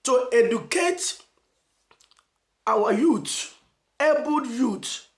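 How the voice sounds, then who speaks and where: A Nigerian man giving an emphatic motivational message as a channel host, asking rhetorical questions and stressing phrases slowly. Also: extremely high pitch, expressive, reverberant. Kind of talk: motivational preaching to camera.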